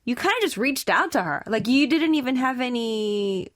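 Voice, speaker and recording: A young woman hosting an interview, chatting casually with quick fillers and easy laughs. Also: on the high side, lively intonation, a bit bright, dry recording. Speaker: interviewer and host.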